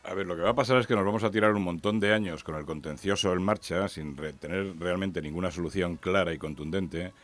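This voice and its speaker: A man's voice: sits low, fairly even intonation, fairly quick, hardly any pauses. A man from Ciudad Real, a panelist in a radio debate, speaking in an emphatic, lamenting way. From Ciudad Real, Spain.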